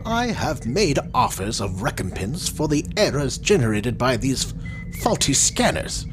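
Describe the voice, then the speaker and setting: An actor playing a frail, proud and touchy reptilian scientist in an audio drama, speaking in a pompous, formal manner. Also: male voice, medium pitch, very animated, a little slow, over music, low-quality recording. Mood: indignant. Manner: pompous, formal, clipped and indignant. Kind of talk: audio drama villain acting.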